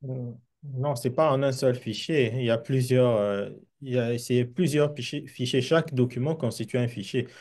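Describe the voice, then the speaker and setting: Man, university official, mellow voice, explanatory, hesitant. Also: medium pitch, moderate pace. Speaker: university official answering questions. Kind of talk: answering questions in an online meeting.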